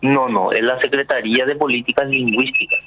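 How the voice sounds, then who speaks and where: A man's voice: medium pitch, fairly even intonation, fairly quick, heavy background noise. A man from Paraguay, a sign language interpreter interviewed on the radio. He explains things earnestly, with many fillers and restarts.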